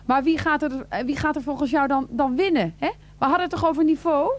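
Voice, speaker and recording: A woman acting a sharp-tongued, mocking woman in a satirical radio play, with a sarcastic, bossy, theatrical delivery. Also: high-pitched, a little fast, close to an everyday voice. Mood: mocking, challenging.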